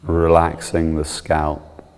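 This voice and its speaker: A man calmly guiding a meditation, soothing and measured, with long pauses between short instructions. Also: extremely low pitch, clear, resonant tone, big-room sound. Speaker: yoga and meditation teacher.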